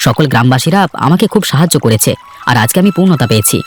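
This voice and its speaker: A man's voice: on the high side, very animated, fast, slightly rough. A man voicing an earnest, plain-spoken young villager in a fairy-tale cartoon, with a slightly chiding manner. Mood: earnest, friendly. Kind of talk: Bengali cartoon voice acting. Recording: background music under the voice.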